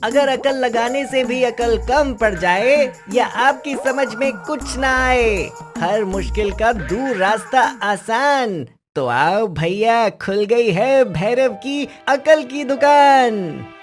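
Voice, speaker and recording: A woman's voice: a little high, animated, slow pace, few pauses, soft background music. A woman voicing a clever, cheeky village orphan boy in a cartoon story. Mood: calling out, sing-song. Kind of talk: Hindi cartoon story acting.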